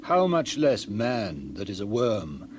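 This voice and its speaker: A man's voice: fairly low pitch, huge pitch swings, slow, background noise, full character voice. A man playing a stern, pious ancient counsellor in a dramatized scripture reading, declaiming rhetorically. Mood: stern, reverent.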